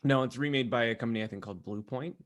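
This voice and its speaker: A man's voice: medium pitch, very fast. A man co-hosting a casual podcast, telling stories to friends in a rambling, self-deprecating way. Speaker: podcast co-host. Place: remote video call.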